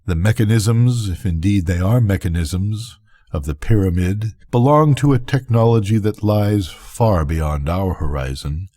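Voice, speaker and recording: An older man reading a bedtime story as scripted narration, with measured, deliberate phrasing. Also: low voice, dark tone. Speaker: reader of bedtime stories.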